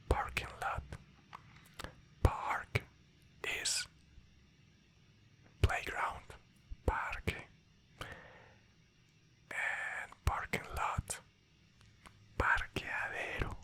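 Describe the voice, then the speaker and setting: Whispering language teacher. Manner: soft whisper, repeats words in pairs, calls listeners class. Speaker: Spanish teacher. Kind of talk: whispered ASMR language lesson.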